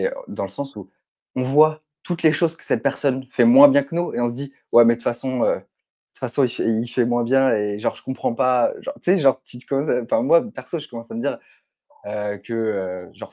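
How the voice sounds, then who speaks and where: A man's voice: medium pitch, darkish tone. A man co-hosting a business podcast, speaking casually with lots of hesitation and slang fillers. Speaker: podcast co-host, publishing founder.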